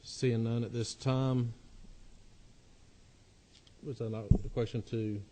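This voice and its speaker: A man's voice: mid-range voice, flat delivery, slow, mellow, slight background noise, rough recording. A man chairing a committee hearing, courteous and procedural.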